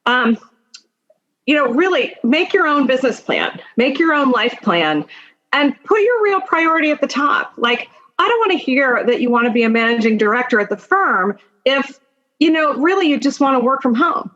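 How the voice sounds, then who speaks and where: Higher-voiced middle-aged woman, slightly muffled recording. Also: very animated, moderate pace, a bit bright. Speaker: podcast guest, attorney and mentor. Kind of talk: personal storytelling and career advice in a conversation.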